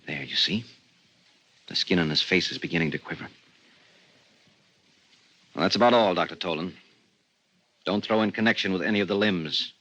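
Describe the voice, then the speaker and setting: An actor playing a tense, suspicious husband in a 1950s radio drama, speaking in a clipped, commanding way. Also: male voice, low-pitched, moderate pace, long pauses, bright, forceful tone. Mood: menacing. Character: tense, suspicious husband with hard, menacing authority. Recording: dull, muffled 1950s radio recording.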